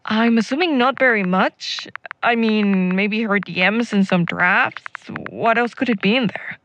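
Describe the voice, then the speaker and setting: A woman's voice: medium pitch, unhurried, a bit bright, dull audio, slight background noise, lightly acted. A young woman playing a caring, teasing traveler in an audio drama, speaking casually and expressively. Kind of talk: naturalistic audio drama acting.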